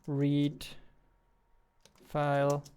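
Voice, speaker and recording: A young man talks casually as he streams his game development work. His voice is fairly high for a man and quite smooth, with little rise or fall in pitch. He thinks aloud haltingly, often half to himself. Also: monotone, darkish tone. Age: 20s. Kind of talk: casual livestream chat while working. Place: indoor room at a computer, keyboard clicks behind.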